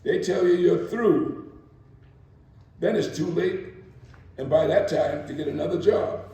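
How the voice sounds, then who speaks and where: Seasoned street-smart woman day laborer , played by a man, blunt and cautioning, unhurried, dramatic reading heard distant in a reverberant room. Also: on the high side, warm tone, voice pushed up. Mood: dismissive, cautioning. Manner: laconic, warning, colloquial. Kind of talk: dramatic reading of archival testimony. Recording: echoey room, mic far away.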